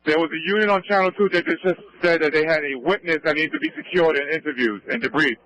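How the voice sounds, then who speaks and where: Fast, bright-voiced man on police radio. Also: on the high side, no echo, rough recording. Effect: two-way radio. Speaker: police officer on scene, coordinating units. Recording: police scanner radio transmission.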